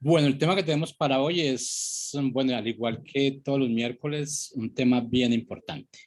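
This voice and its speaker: A man's voice: mid-range voice, moderate pace. A man hosting a radio segment in a conversational presenting style. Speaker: radio host.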